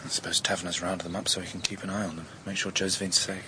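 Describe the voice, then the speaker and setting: A man's voice: sits low, little pitch movement, rapid-fire, background noise, natural voice. An actor playing an anxious young man in a radio drama, conversational but edgy. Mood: musing, wry. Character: young man, anxious lover of a determined woman.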